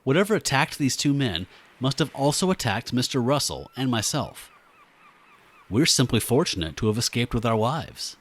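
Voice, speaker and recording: A man's voice: medium pitch, very animated, moderate pace. An actor playing a stiff, haughty man who speaks formally and with prim condescension, in the style of an old radio show. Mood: irritated.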